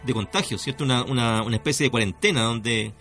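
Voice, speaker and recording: A man's voice: medium pitch, fast, fairly bright tone, soft background music. A man with a Chilean accent hosts a conversational radio interview, hesitating often and tagging phrases with checking questions. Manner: hesitant, often adds "cierto" tags. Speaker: radio host.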